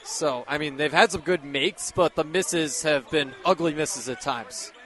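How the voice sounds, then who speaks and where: A man with a fairly high voice gives color commentary on live basketball radio. He sounds conversational and explanatory, adding analysis, with the game and bouncing balls heard behind him. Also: a little slow, fairly bright tone.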